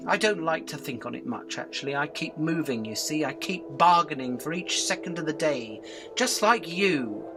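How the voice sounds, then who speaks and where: A man playing a cheeky, fast-talking fly in a radio drama, pleading and rambling, deferential but impudent. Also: a little high, animated, over music. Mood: earnest, reflective. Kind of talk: illustrated radio drama acting.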